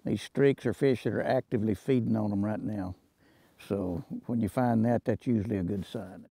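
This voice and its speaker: A man's voice: fairly low pitch, steady tone, moderate pace, creaky, mellow, breathy edge, dry recording. A man giving casual, explanatory commentary as an angler. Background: plucked guitar music.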